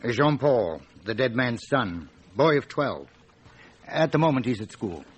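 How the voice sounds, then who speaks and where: A man's voice: medium pitch, sing-song, unhurried, slightly rough, low-quality recording. A local police inspector in a radio drama, with a mid-range voice. He is obliging and talkative, laying out details like a guide with slight hesitation. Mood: obliging, explanatory.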